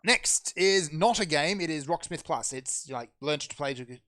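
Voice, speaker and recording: Male podcast host, higher-pitched, dry sound, brisk sarcastic rundown. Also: animated, bright, forceful tone, no room sound. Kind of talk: casual chat.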